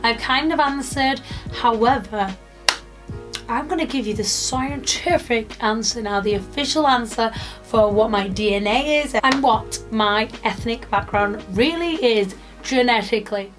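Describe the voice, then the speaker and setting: A woman's voice: a little high, unhurried, music in the background. A British woman in her twenties from the UK, chatting casually to camera in a rambling vlog with lots of fillers. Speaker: YouTuber.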